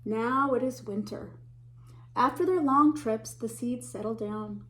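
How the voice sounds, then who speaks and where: A woman with a slightly high voice reads a children's picture book aloud in the warm, expressive way of a teacher at storytime. She shifts her pacing for suspense, sometimes hushing to a whisper, and stops now and then to ask little listeners what they can spot on the page. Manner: animated, gasps and whispers for suspense, pauses to point out pictures.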